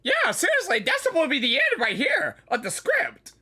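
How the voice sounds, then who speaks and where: A man giving casual gaming reactions in an excitable, repetitive, rambling manner. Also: very high voice, normal speed. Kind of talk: casual live game reaction commentary.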